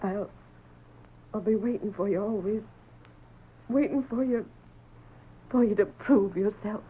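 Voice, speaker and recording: An actress playing a sad, devoted young ranch wife with an American accent, halting and tender, in radio melodrama style. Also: female voice, mid-range voice, slightly rough. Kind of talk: 1940s radio melodrama acting. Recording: tinny old radio recording, noisy background.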